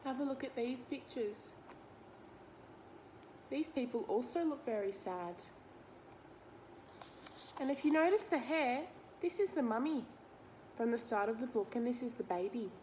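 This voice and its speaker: A woman's voice: a little high, expressive, a little slow, pauses often, mellow, a little hiss or hum, rough recording. A woman reading a picture book aloud to children, explaining it simply and putting questions to the listener. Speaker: read-aloud teacher.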